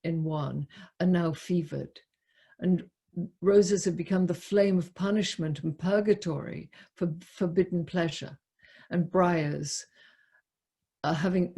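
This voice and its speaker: Female discussion leader, husky and unhurried, thinking aloud haltingly as she explains poetry. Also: on the low side, mellow. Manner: halting, reflective, repeats words as she explains. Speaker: poetry lecturer leading a discussion. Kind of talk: poetry talk and open group discussion.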